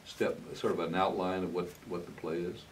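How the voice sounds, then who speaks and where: A man's voice: sits low, little pitch movement, normal speed. An older man hosting a conversational television interview, informal and searching for his words. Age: older, 60s-70s. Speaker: interviewer, host. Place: indoor TV studio, green screen. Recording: slightly distant mic, faint hiss.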